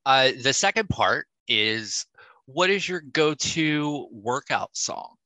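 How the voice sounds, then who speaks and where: A man's voice: mid-range voice, expressive, slow, pushed and bright, no room sound, low-quality recording. A man hosting a casual interview, asking questions and giving brief, encouraging replies. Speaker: podcast host.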